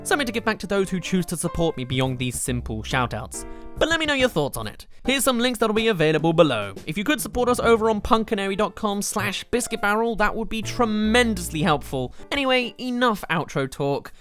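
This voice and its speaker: A man hosts a scripted video game countdown. His voice sits a bit high and swings widely in pitch as he emphasises his points with open enthusiasm. Music plays behind the narration. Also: sing-song, a little fast, fairly bright tone. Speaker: video game reviewer and channel host.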